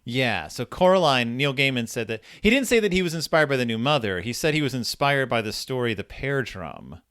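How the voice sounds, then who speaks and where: A man co-hosting a podcast and casually discussing a story, with halting phrasing and repeated words. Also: medium pitch, big pitch swings, a bit bright, clean tone, no echo, clean studio sound. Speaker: podcast host.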